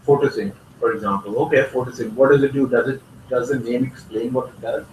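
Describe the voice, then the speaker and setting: A man with an average pitch speaks earnestly in a meeting, often repeating his opening words. He sounds far from the microphone in an echoey room with a faint hiss. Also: fast talker, a little mellow. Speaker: meeting participant. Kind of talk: casual meeting discussion. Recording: roomy, distant mic with faint noise.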